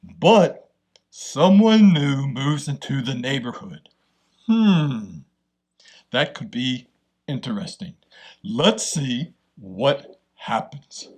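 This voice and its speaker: A man's voice: mid-range voice, sing-song, extremely slow, a little mellow, exaggerated voice. A man playing a friendly bear character who hosts a children's storytime, welcoming young listeners. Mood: cheerful. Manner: welcoming, addresses children directly. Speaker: host. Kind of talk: scripted children's show introduction.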